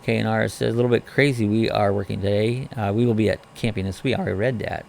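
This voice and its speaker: A man with a hoarse, crackly voice from a respiratory illness, hosting a casual morning live chat, greeting viewers by name and reading their comments aloud in an easygoing, rambling way. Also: lowish voice, fairly even intonation, quick pace, darkish tone.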